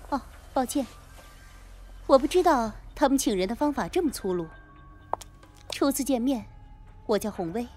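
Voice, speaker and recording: 一名女演员在广播剧中饰演冷酷、有心计、语带嘲讽的帮派女叛徒。. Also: female voice, on the high side, animated, moderate pace, pauses often, darkish tone, faint music behind, lightly acted. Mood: cool, composed. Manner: taunting, coolly condescending. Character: cold, scheming female gang insider turned betrayer. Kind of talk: Chinese radio drama acting.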